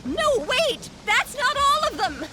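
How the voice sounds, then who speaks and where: A woman voicing an anxious, gentle female rabbit villager in a video game, earnest and pleading. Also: very high-pitched, big pitch swings, slow pace, heavily acted. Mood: frightened. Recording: music behind the voice.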